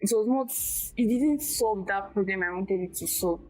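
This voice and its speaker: Soft-spoken woman, halting. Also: medium pitch, poor audio. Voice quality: small, quiet voice. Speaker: guest, tech skills tutor. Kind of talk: casual conversational interview.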